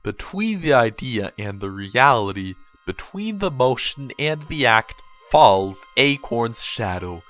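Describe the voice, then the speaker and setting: A man's voice: mid-range voice, very animated, takes it slowly, hardly any pauses, fairly bright tone, no echo, highs cut off. A man giving a theatrical, emphatic dramatic reading of a comedic story. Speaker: narrator reading a book aloud.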